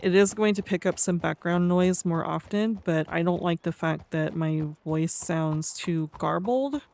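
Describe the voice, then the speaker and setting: Roleplay game streamer, medium pitch, casual delivery, speech impediment, stumbles and mumbles a little. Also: measured pace, creaky, darkish tone. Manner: tongue-tied, mumbly, stumbles over words. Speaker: streamer playing a roleplay character. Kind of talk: casual game livestream commentary, reading aloud.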